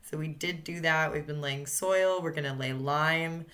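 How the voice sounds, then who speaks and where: A woman's voice: low voice, a little slow, fairly bright tone. A woman co-hosting a podcast, chatting casually and upbeat with lots of fillers. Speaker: podcast co-host. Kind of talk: casual chat.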